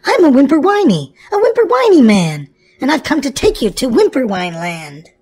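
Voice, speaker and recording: A woman's voice: sits high, wildly swooping pitch, normal speed, darkish tone, slightly rough, heavily acted. A woman voicing a strange, weepy little old goblin man who whines, in a storybook. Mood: whiny menace. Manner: whining.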